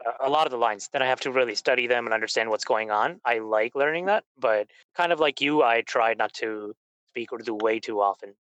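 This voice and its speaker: Host: adult man, relaxed agreeable chat, dry close sound, mid pitch. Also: fairly quick, smooth, no echo, rough recording. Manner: easygoing, agreeable, laughs lightly. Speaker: podcast host. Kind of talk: casual interview chat.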